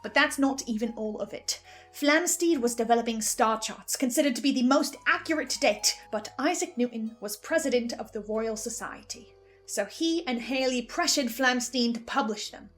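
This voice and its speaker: A woman giving enthusiastic theorising commentary as a listener, excitable and often interrupting herself. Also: on the high side, normal speed. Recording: music behind the voice. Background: music.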